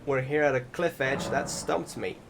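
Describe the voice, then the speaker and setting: A man's voice: medium pitch, normal speed, gravelly, background noise. A man giving casual, rambling gameplay commentary in an informal, sweary way. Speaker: gaming video creator.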